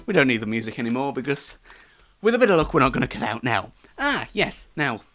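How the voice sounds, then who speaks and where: A man's voice: medium pitch, sing-song, fast talker, fairly bright tone. A man hosting a casual online radio-style show in a rambling, self-deprecating way. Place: home studio and downstairs rooms. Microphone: switches between wireless and studio microphones. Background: background music in places.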